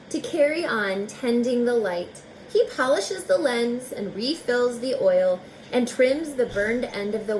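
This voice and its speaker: A woman's voice: a little high, slight background noise, low-quality recording. A woman teacher reading a children's picture book aloud expressively, with chatty asides spoken directly to young listeners. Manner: slow, deliberate phrasing, talking directly to young listeners.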